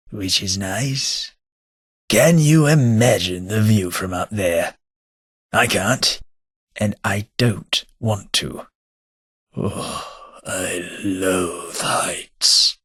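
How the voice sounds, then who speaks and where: An actor voicing a foppish, snobbish aristocratic companion in a game, with a languid, sardonic upper-class drawl. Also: male voice, fairly low pitch, fairly bright tone, breathy edge, no echo, clean studio sound, full character voice. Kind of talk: game voice acting.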